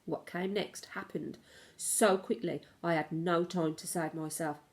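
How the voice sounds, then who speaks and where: A woman's voice: fairly low pitch, fairly even intonation, unhurried. Woman narrating a children's storybook, dramatic emphasis. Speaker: teacher reading aloud for home learning.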